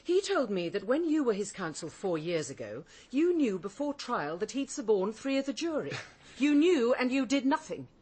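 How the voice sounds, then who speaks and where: A stern English woman barrister and cold mother for radio drama. Her voice is slightly rough and moves expressively up and down. She speaks articulately, with caustic control. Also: on the high side, very animated, normal speed, dark tone. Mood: accusing, threatening.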